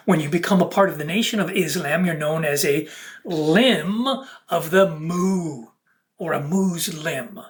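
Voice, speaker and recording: A speaker of unclear sex delivering a rambling spiritual monologue as a video host, in an earnest, preachy and fragmented way. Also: mid-range voice.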